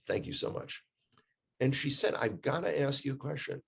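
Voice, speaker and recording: A man's voice: medium pitch, animated, moderate pace, vocal fry, a bit bright, husky. A man with an American accent telling stories in a casual, conversational way, emphatic and repeating phrases for effect. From United States. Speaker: guest, tour guide living in Israel.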